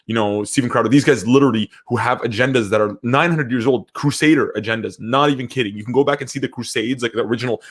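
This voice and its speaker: Rapid-fire man in casual, emphatic podcast chat. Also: mid-range voice. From Syria. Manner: emphatic, informal, repeats phrases for emphasis. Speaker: podcast host.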